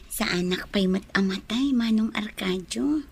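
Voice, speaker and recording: A woman's voice: medium pitch, takes it slowly, creaky, husky, heavily acted. A woman with an Ilocano accent playing a kind, trusting lady of the house in an audio drama. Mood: appreciative.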